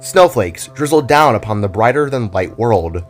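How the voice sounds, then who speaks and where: A man narrates a dramatic tale in a fairly low voice that moves up and down in animated swings. His delivery is theatrical, like a storybook being read aloud. Soft music plays underneath. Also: normal speed. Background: background music.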